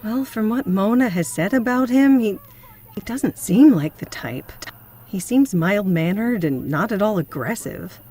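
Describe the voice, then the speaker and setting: A woman's voice: medium pitch, animated, unhurried, mellow, husky. A woman playing an earnest, principled psychotherapist with a prim, concerned manner in an audio drama. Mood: upset.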